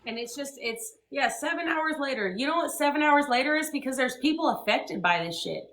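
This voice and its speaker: A young woman with a high, bright voice rants heatedly and swears freely. She hammers her points home on a livestream. The voice rings in an echoey room. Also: lively intonation, brisk, slight background noise. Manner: profane, ranting, emphatic. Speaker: livestream host.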